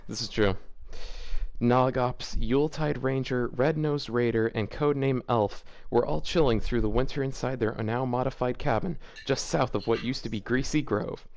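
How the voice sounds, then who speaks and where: A man's voice: mid-range voice, moderate pace, creaky, darkish tone. A man hosting a show, reading fanfiction aloud casually and breaking off for amused, mocking asides. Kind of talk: casual reading with joking commentary.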